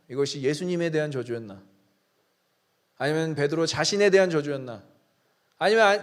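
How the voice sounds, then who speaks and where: Dramatic Korean pastor preaching. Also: male voice, on the high side. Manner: dramatic preaching, swings from hushed asides to loud emphatic lines; reads scripture aloud with slow, stressed delivery. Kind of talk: sermon.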